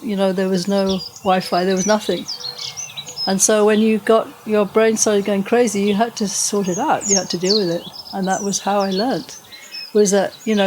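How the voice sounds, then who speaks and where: An older woman from Tanzania speaks as a podcast guest, her voice at a middling pitch for a woman. She recalls the past in a loose, meandering way and restarts her sentences often. A faint hiss sits under the recording. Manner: rambling, warm recollection with frequent restarts and soft laughs. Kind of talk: relaxed conversational storytelling.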